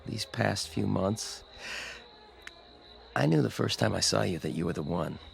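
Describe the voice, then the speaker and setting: An actor playing a devoted, formal fiancé in a radio drama, solemn and measured, with a gravelly voice. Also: male voice, on the low side, animated, faint music behind, exaggerated voice. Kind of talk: dramatic radio play acting.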